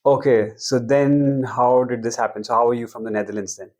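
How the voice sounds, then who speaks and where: A young man hosting a casual talk show, relaxed and asking short questions. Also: medium pitch, fairly quick. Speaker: host. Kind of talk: casual chat.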